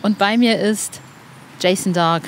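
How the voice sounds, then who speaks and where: A woman's voice: medium pitch, measured pace. A woman hosting a podcast, chatting and interviewing in an informal, enthusiastic way. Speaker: podcast host. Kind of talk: excited podcast interview and chat. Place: outdoors in a cemetery. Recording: clear outdoor background noise.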